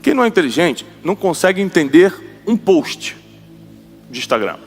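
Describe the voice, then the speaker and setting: Emphatic preacher, high voice. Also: male voice, very animated, moderate pace, soft background music. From Rio de Janeiro, Brazil. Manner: emphatic, repeats lines for the audience to echo. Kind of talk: sermon.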